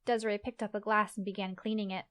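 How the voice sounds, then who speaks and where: A woman's voice: mid-range voice, little pitch movement, fast talker, fairly bright tone, smooth. A woman reading scripted fantasy story narration as the narrator of an audio play.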